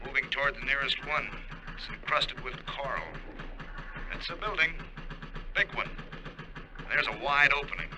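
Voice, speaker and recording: An actor playing a steady, focused deep-sea diver, reporting in clipped, halting phrases in 1950s radio drama style. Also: male voice, natural voice. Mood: tense, focused. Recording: noisy vintage radio recording, diving ambience.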